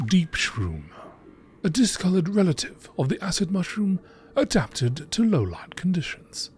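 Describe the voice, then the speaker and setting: A man reading scripted lore in a nature documentary narration style, with measured, deliberate phrasing. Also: high voice, big pitch swings. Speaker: video creator reading game lore aloud. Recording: clearly noisy background.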